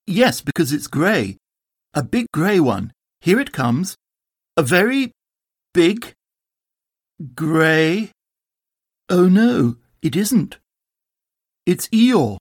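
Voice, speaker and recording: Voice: mid-range voice, very animated, extremely slow, long pauses, slightly rough, dry recording, studio quality, voice pushed up, full character voice. A storybook reader voicing an earnest, humble male toy bear with a simple, hesitant manner. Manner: hesitant, simple, well-meaning. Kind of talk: storybook character voice.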